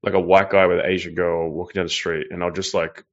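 A man's voice: low voice, little pitch movement, fast talker. A man hosting a livestream and reading viewer messages, talking in a loose, rambling way with plenty of swearing and fillers. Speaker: livestream host reading super chats. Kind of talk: casual livestream chat. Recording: slightly muffled stream audio.

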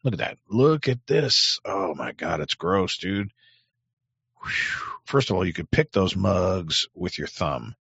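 A man's voice: low voice, expressive, measured pace, creaky, a bit bright, breathy edge, no echo. A man streaming live, chatting casually and reacting crudely to clips he plays. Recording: dry, dull lo-fi sound.

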